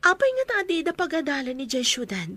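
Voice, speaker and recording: A woman's voice: high-pitched, sing-song, brisk, bright, forceful tone, exaggerated voice. A woman playing an observant, chatty health worker in a radio drama, with a Filipino accent. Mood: curious.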